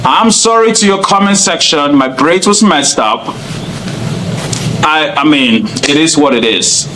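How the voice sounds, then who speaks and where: A man with a Cameroonian accent who speaks English as a second language, laying out his views point by point. His voice sits a bit high for a man. A faint noise runs under the recording. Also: a little slow, pushed and bright, low-quality recording. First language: not English. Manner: earnest, explains point by point. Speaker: guest. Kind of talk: interview answers.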